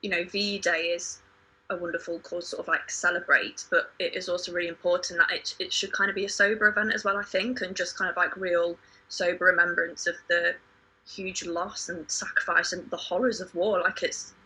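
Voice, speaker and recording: A woman in a reflective discussion, speaking earnestly and sombrely with soft hedges. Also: lowish voice, level tone, fairly quick, husky, low-quality recording. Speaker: podcast panellist.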